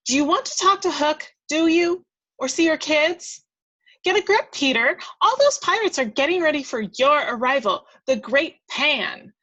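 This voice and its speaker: A woman's voice: sits high, expressive, moderate pace, a bit bright, lo-fi sound, voice pushed up. A woman voicing a bossy, exasperated tiny fairy, scolding in emphatic bursts at an amateur table read. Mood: scornful.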